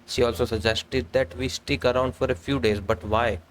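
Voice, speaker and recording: A man's voice: medium pitch, steady tone, moderate pace, background noise. A man live-streaming a game, commenting casually and reading dialogue aloud as he plays. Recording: game music behind the voice. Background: game music.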